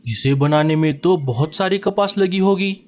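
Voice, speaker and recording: A man's voice: a little high, normal speed, darkish tone, natural voice. A man voicing a friendly male bird in a cartoon story, speaking politely and gratefully.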